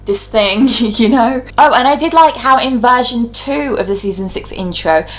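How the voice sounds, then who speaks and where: A woman's voice: on the high side, normal speed, poor audio. A woman talking casually and informally as a video host, giving her opinions in a chatty, conversational way. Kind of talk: casual opinionated ranking commentary.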